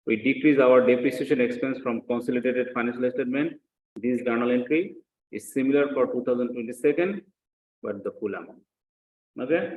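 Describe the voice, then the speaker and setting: A man's voice: mid-range voice, slight background noise. A man giving a lecture as he works through an example step by step, repeating phrases and checking understanding with short questions. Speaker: accounting lecturer. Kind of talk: teaching lecture with worked example. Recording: echoey room, dull muffled sound.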